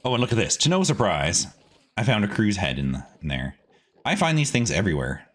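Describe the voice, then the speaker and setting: A man giving casual gameplay commentary as a streaming host, chatty and animated, rambling as he goes. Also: lowish voice, fairly quick.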